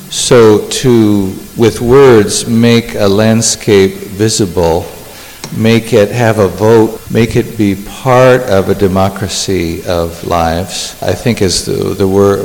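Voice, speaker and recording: A man speaking reflectively as a panelist, with measured, lyrical phrasing. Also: lowish voice. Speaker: panelist, poet. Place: large hall, live panel. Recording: reverberant hall.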